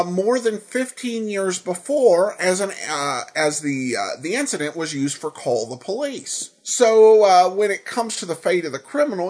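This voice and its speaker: A podcast host commenting on old radio shows and answering listener feedback in a halting, hesitant way with frequent pauses. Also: mid-range voice, breathy edge.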